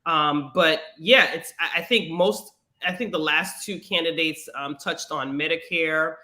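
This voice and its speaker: A man's voice: on the high side, a little slow, sharp tone. A man hosting a casual political interview, asking questions with many fillers. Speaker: podcast host.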